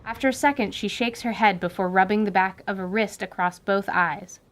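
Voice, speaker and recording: A woman narrating a fantasy radio drama with lively storytelling. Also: medium pitch, brisk.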